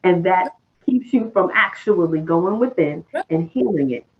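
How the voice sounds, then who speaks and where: A host speaking over a tinny, phone-like remote line. The tone is reflective and affirming, sharing personal insight at an even, mid-range pitch. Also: measured pace. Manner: thoughtful, affirming, shares own views. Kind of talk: reflective interview chat.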